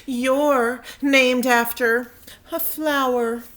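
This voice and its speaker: Lady doing a snooty little know-it-all school girl, bit high pitched, storybook style. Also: steady tone, drawn-out words, fairly bright tone, exaggerated voice. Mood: smug.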